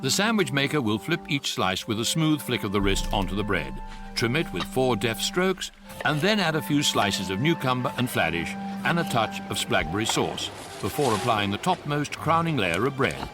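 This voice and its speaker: An actor playing a wry narrator of an absurd science fiction radio tale, deliberate, precise and mock-serious. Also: male voice, mid-range voice, big pitch swings, close to an everyday voice. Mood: wry, measured. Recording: music playing behind the voice.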